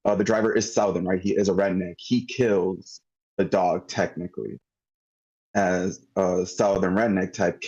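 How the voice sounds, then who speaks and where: Male, deep and mellow, halting off-the-cuff critique, lo-fi online call sound. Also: steady tone, slightly rough. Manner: halting, circling back mid-thought. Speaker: table read participant giving script feedback.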